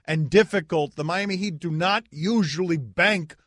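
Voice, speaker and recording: Man, high voice, loud, excitable, whiny, sports talk host, dry studio sound. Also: normal speed, no room sound. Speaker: radio show host. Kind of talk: heated sports talk debate.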